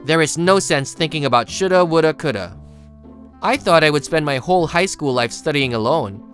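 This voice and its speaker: An actor playing a studious, reserved teenage high school boy who narrates and speaks in a manga video, introspective and a little hesitant. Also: lively intonation, moderate pace, a bit bright, faint music behind, natural voice.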